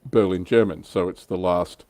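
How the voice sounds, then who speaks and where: Low, mellow-voiced man hosting casually. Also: fairly even intonation, moderate pace, dry recording. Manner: informal, conversational. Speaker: livestream host. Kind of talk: casual tabletop wargaming livestream chat.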